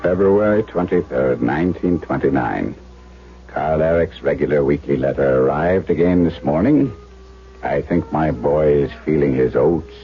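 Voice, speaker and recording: An actor playing a kindly, earnest older German doctor in a 1970s radio drama, speaking in a deliberate, fatherly, storytelling manner. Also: rumbling low, expressive. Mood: reflective. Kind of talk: 1970s radio drama acting, first-person narration. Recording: muffled vintage radio broadcast, music underneath.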